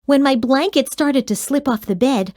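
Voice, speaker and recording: Woman, children's story narrator, higher pitch, unhurried, expressive, dry sound. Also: dry recording. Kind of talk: expressive children's read-aloud.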